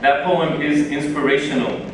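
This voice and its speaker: Man emceeing a graduation, hypes up the audience, kinda high voice, echoey theater w/ loud crowd. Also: little pitch movement, normal speed, recorded from a distance. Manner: encouraging, asks the audience to respond. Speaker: ceremony emcee. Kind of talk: introducing speakers at a graduation. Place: large theater.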